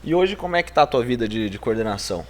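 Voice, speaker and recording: A Brazilian man hosts a podcast. He talks very fast at a middle pitch, slangy and joking. Faint background noise sits under his voice. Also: animated. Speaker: podcast host. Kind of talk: casual podcast interview.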